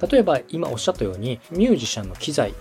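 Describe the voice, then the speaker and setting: Polite man explaining things quickly, lively pitch. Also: soft background music. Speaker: host, radio director coaching podcast making. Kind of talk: explanatory coaching conversation.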